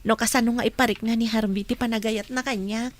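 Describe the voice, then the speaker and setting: A woman acting a devoted, contented young newlywed in a Filipino radio drama, gentle and sincere, with a Filipino accent. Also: on the high side, steady tone, fast talker, natural voice. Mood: happy, loyal.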